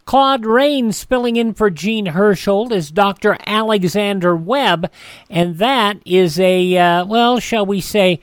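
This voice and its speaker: High-pitched male radio host, dry sound. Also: takes it slowly, clean tone, dry recording. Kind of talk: radio presenting, announcing episodes.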